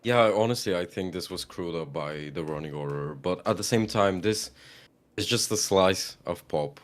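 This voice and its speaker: Very low-voiced man from Ukraine. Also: moderate pace. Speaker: guest commentator on a live stream. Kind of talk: casual chat and opinion.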